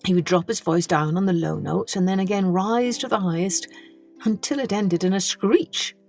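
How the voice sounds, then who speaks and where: Female children's storyteller: medium pitch, expressive scripted narration, deliberate phrasing, faint music bed. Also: gravelly. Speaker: storytelling podcast host.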